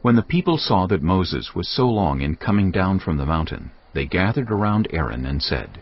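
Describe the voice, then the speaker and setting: Male narrator for scripted scripture: very deep, lively intonation, slightly muffled with soft music behind. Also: moderate pace, husky. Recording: dull band-limited sound, faint music.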